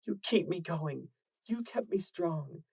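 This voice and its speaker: A desperate mother mare, as a man voices her, her voice raised high and kept soft and hushed. She soothes and pleads with a frantic tenderness, over a faint gravelly grain. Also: animated, slow pace, dry recording, heavily acted. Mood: pleading.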